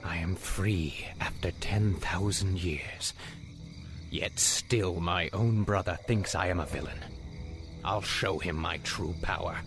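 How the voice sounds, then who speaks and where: An actor playing a brooding, proud male elf exile in a video game cinematic, with a hoarse, whispery delivery. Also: sits low, steady tone, takes it slowly, noisy background. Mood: defiant.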